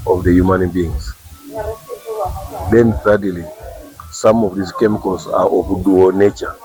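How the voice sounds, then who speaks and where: A man with a Ugandan English accent and a very deep voice speaks as an official raising awareness. He explains point by point, repeating words and checking in with a quick "eh?". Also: darkish tone, poor audio. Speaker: government official. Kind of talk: awareness talk to workers.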